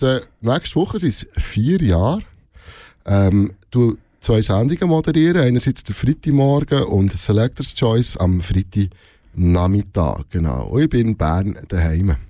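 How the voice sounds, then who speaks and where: A man with a Swiss German accent and a mellow, mid-pitched voice, recorded dry and close. He talks casually as an interviewed radio presenter, circling back and rewording as he goes. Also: no echo. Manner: hesitant, rephrasing often, repeating words mid-sentence. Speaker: radio host being interviewed.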